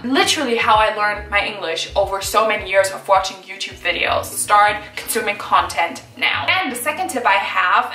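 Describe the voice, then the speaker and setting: Upbeat young woman vlogging, bright voice, says like a lot, a bit far from the mic in a roomy space. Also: fairly high pitch. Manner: chatty, upbeat, lots of "like" and "so normal". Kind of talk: casual chat to camera. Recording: echoey room, slightly far from mic, light music.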